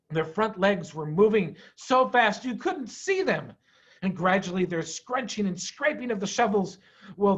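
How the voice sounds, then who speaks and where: A man reading a children's story aloud with dramatic, expressive pauses and emphasis. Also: high-pitched, dull audio. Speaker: storyteller, head of school.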